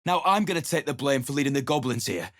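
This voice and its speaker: A man's voice: mid-range voice, expressive, fast talker, fairly bright tone. A man voicing a blunt, scornful sellsword. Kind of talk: video game voice acting.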